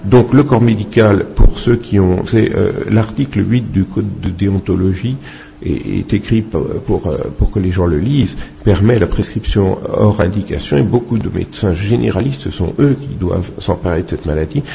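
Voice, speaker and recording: A man speaking off the cuff in a halting, opinionated way as a doctor answering questions at a public talk. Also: sits low, gravelly, mellow. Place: public meeting with microphone. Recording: muffled, noisy public-event recording.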